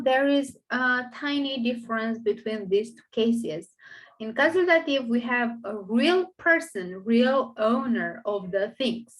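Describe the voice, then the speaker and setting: A woman's voice: on the high side, a bit bright, poor audio. A woman with a Romanian accent, a native Romanian speaker, teaching a grammar lesson in English, explaining step by step and thinking aloud with fillers. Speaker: language teacher.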